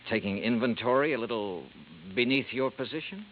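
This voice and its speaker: An actor playing a wry, cool insurance investigator who narrates and questions people in a dry, laconic way, in a 1950s radio drama. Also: male voice, mid-range voice, expressive, brisk, close to an everyday voice. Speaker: narrator and lead detective. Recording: tinny lo-fi old radio broadcast with faint hiss.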